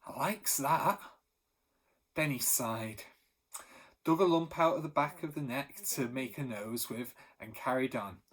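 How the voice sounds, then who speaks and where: Husky-voiced teacher guy reading a chapter book, medium pitch, lively and expressive. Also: unhurried. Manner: lively storytelling with animated emphasis. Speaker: primary school teacher. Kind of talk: reading a children's novel aloud.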